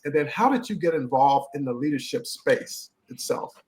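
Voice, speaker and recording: A man's voice: mid-range voice, animated, normal speed, a little mellow. A man hosting a live-streamed interview podcast, enthusiastic and repeating words for emphasis. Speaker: podcast host.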